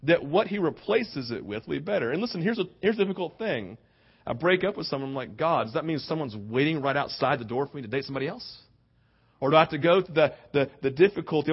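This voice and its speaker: A man's voice: medium pitch, breathy edge, highs cut off. A man preaching a conversational sermon, earnest and informal, with rhetorical questions, tag questions and bits of acted-out dialogue. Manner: acts out imagined dialogue informally.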